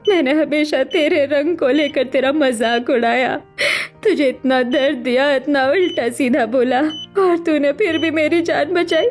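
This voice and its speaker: A woman's voice: high-pitched, a little slow, faint music behind. A woman voicing a proud, emphatic middle-aged mother in a melodramatic Hindi cartoon story. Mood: tearful, remorseful. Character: harsh, scornful, status-proud mother, later tearfully remorseful.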